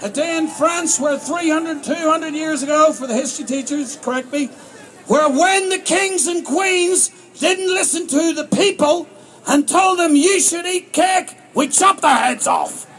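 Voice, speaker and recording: A man's voice: very high voice, measured pace, a bit bright. A man with an Irish accent giving a rousing, declamatory political speech at an outdoor protest rally. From Ireland. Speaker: protest organiser and rally speaker. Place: outdoors at a street march. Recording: outdoor rally, crowd and background noise.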